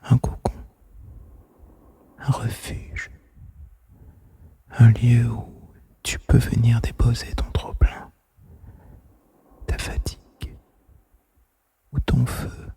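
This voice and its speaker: A man murmurs an intimate relaxation monologue in a breathy whisper. His delivery is extremely slow and gentle, with a soft, mellow tone. He stays close to the microphone, soothing, as if speaking into one listener's ear. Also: medium pitch, little pitch movement. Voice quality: breathy, mostly unvoiced whisper. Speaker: host of a whispered relaxation podcast. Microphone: close microphone, made for headphones.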